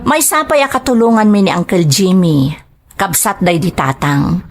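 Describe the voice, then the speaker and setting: A woman narrates a drama in the form of a personal letter. The delivery is scripted, and her voice sits at an average female pitch. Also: animated, normal speed, a little hiss or hum.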